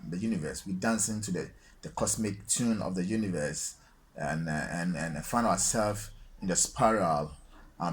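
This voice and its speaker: A man from Ghana with a Ghanaian accent, talking alone to his viewers in a halting, reflective way, full of fillers and repeated words. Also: deep voice, husky. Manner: slow, reflective, repeats phrases for emphasis. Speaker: solo vlogger. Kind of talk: unscripted spiritual musing. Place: alone, lighting fading.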